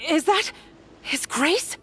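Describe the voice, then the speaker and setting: A woman's voice: extremely high pitch, exaggerated voice. An actress playing a timid young woman held captive in a video game, hesitant, fragile and breathless. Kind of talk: dramatic video game voice acting.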